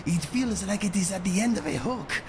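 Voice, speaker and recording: A man playing a loyal, gentle cat-man companion in a video game, speaking formally and troubled. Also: high voice, animated, noisy background. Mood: distressed, dazed. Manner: formal speech without contractions, measured. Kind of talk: video game character acting.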